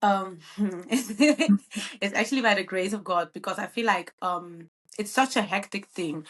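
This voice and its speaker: A woman's voice: medium pitch, unhurried. A bubbly woman. She answers interview questions casually, with frequent ums and uhs. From Netherlands. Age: 20s. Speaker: guest, event planner.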